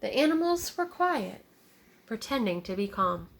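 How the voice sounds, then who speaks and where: A woman teacher reading a picture book aloud to young children, deliberate and child-directed, pausing to explain words and ask simple questions. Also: fairly high pitch, very animated, vocal fry.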